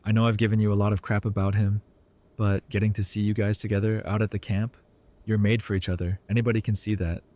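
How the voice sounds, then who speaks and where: A man's voice: lowish voice, little pitch movement, fast, darkish tone, close to an everyday voice. A man playing a wry, earnest young doctor in an audio drama, with a casual, teasing delivery. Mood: sincere, reflective. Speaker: voice actor in a fan audio drama. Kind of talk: conversational audio drama acting.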